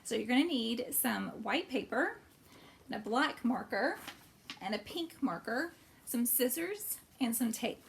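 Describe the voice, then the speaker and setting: A woman's voice: a little high, expressive, a little slow, creaky, a little hiss or hum. A woman hosting storytime for children, reading and explaining simply, with questions put to young listeners. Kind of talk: animated storybook read-aloud and craft talk for children.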